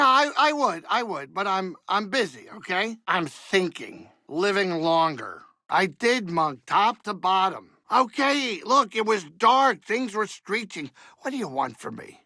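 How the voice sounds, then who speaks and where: Crusty older alien saloon owner for a video game, kinda high n gravelly, folksy wisecracks, grumbly but soft-hearted. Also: male voice, no room sound, full character voice. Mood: flustered, defensive. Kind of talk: video game character dialogue.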